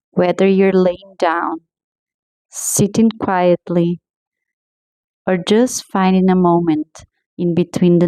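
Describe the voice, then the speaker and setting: A woman guiding a meditation in a slow, soothing, gently paced scripted voice. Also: on the low side, dry recording, poor audio. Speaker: meditation guide.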